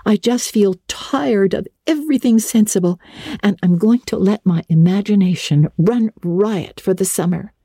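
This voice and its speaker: A woman's voice: mid-range voice, normal speed, mellow, slightly rough, dry recording, studio quality, pitched above the performer's own voice. A woman voicing an eager, talkative, dreamy young girl in a dramatic storybook reading, gushing with breathless earnestness. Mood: chatty, dreamy eagerness.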